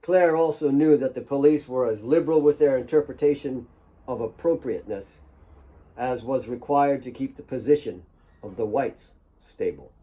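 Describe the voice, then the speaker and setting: Male fiction narrator, medium pitch, warm relaxed tone, measured dramatic delivery. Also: dull audio. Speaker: author reading own novel.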